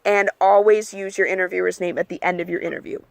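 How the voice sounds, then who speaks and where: A young adult woman chatting candidly in a casual advice vlog, with plenty of ums and likes, and she calls her own voice monotone. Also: lowish voice, fast, creaky, dry recording. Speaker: vlogger giving application advice.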